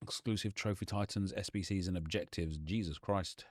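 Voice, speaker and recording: Brief: young male gaming streamer, a bit hoarse, low voice, rants casually, dry close mic. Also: no room sound. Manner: chatty, ranting, quick asides. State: slightly hoarse.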